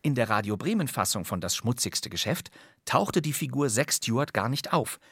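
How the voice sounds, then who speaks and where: A man's voice: medium pitch, very animated, moderate pace, fairly bright tone, husky, no room sound, clean studio sound. A man hosting scripted, wry presentations of old radio crime plays in a playful, theatrical storytelling way. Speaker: podcast host.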